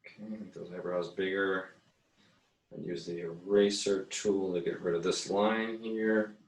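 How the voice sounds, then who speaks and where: A man giving a casual, unscripted tutorial as an art teacher, haltingly thinking aloud as he works. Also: deep voice, fairly even intonation. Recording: echoey, roomy sound.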